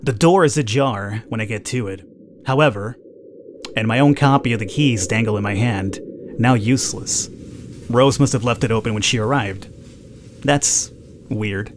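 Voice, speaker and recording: A man's voice: lowish voice, moderate pace, pauses often, a little hiss or hum. A man reading game narration aloud in a dramatic storytelling way, as a let's play gamer.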